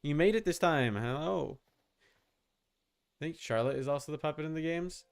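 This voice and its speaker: A young adult man chatting casually as he streams a game, rambling and halting with repeated words. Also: on the high side, vocal fry, clear, resonant tone. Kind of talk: casual livestream chat while gaming.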